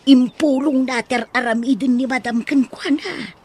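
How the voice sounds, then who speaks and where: A woman playing a protective, outspoken older household helper in an audio drama, husky and emphatic, with a Filipino accent. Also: high voice, steady tone, measured pace, darkish tone. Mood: earnest, explaining. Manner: exclaiming, emphatic.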